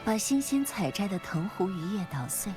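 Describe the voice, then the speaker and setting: A woman voicing a gentle, alluring castle lady in an anime-style game, with a soft, soothing manner. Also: mid-range voice, big pitch swings, a little fast, close to an everyday voice. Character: gentle, alluring castle lady who tends poisonous plants. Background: game music.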